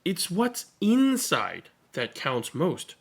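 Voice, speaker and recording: A reader voicing a wise, encouraging number seven from a picture book, gently and in measured phrases. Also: mid-range voice, wildly swooping pitch, gravelly, breathy edge, full character voice. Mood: gentle, reassuring.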